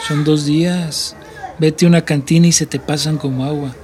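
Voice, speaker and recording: High-pitched, cocky Mexican smuggler played by an actor. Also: male voice, steady tone, normal speed, a little mellow, faint music behind, lightly acted. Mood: bossy, sly. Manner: brusque, slangy. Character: cocky, shady male people smuggler from the border. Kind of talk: radio play acting.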